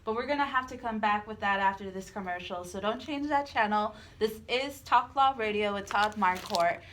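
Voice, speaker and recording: Mid-pitched female radio co-host. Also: normal speed, fairly bright tone, a little hiss or hum. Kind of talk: conversational radio presenting.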